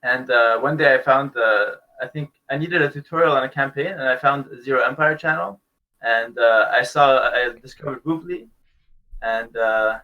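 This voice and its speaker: A man's voice: medium pitch, moderate pace, lo-fi sound. Laid-back young Canadian man. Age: young adult. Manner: laid-back, chuckles, fillers like "like" and "basically". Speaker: guest. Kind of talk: casual interview answers. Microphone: video call.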